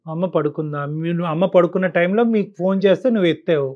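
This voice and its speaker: A man's voice: high voice, fairly even intonation, quick pace, muffled recording. A man counselling on a call-in show, explaining his advice point by point and often repeating himself. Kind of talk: advisory talk to caller and co-host.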